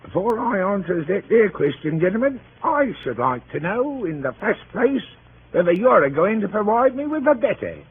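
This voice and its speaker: An actor playing a jaunty, wisecracking manservant in 1930s radio comedy style. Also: male voice, high-pitched, very animated, moderate pace. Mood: shrewd, cheeky. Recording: scratchy, tinny 1938 radio broadcast.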